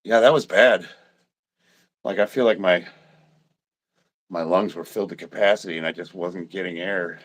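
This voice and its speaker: A congested man in his fifties, short of breath, chatting casually on a livestream, swearing and often clearing his throat. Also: deep voice, moderate pace, pauses often. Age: 50s. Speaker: livestreaming powerlifter.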